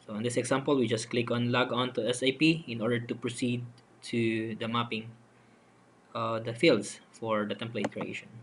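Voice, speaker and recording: A man giving a halting, unscripted, step-by-step software demonstration as a voice-over for a screen recording. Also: mid-range voice. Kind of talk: step-by-step screen tutorial narration. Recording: noticeable steady background noise.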